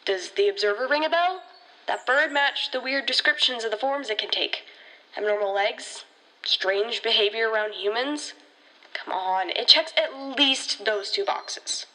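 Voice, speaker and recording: A woman's voice: a little high, measured pace, pushed and bright, rough recording. A woman playing an anxious, defensive teenage rookie ranger in an audio drama, insistent and sarcastic. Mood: defensive, incredulous.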